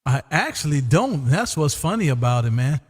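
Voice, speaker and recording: A man's voice: fairly high pitch, sing-song, moderate pace, long reverb tail, slight background noise. A jovial, teasing man hosting a live amateur radio stream in casual chat, repeating himself and peppering his talk with "man". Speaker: amateur radio operator and livestream host. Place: home radio room.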